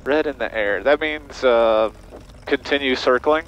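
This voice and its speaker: A man talks over loud aircraft noise in a dull, muffled headset sound. He is a pilot, switching between casual cockpit chat and procedural radio calls. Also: mid-range voice, a little slow. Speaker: pilot and vlog host. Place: small twin-engine airplane cockpit. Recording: dull, loud airplane engine and cabin noise.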